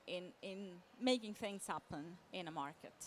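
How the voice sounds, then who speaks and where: A woman giving a semi-improvised conference presentation as a literary translator, halting and often repeating words as she searches for phrasing. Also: lowish voice, animated. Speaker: literary translator, panel speaker. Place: live talk at a book fair event. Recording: clear background noise.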